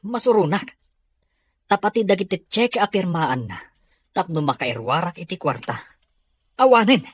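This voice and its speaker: A man with a Filipino accent playing a scheming, hot-tempered, money-hungry schemer in a radio drama, with a husky voice. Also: high voice, lively intonation, normal speed, a little hiss or hum. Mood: menacing. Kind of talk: Ilocano radio drama acting.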